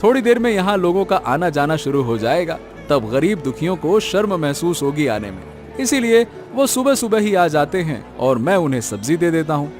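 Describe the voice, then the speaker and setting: A man's voice: on the high side, normal speed, faint music behind. A man voicing a humble, kind-hearted village vegetable seller in a cartoon story, speaking earnestly. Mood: humble, kindly. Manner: earnest, expressive. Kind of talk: scripted cartoon story acting.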